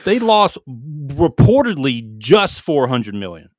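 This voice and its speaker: A man reading gaming headlines on a casual podcast, breaking off for asides and tripping over foreign names. His voice sits at a middle pitch and is recorded close and dry, with no room sound. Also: sing-song, slow pace, no echo. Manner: reads headlines aloud with asides and stumbles on names. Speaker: podcast co-host reading news.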